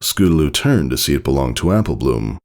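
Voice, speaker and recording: A man narrates a story in a low, gravelly voice. His pitch swings widely as he reads, giving the scripted text an expressive storyteller's delivery. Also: a little fast. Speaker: fan fiction reader.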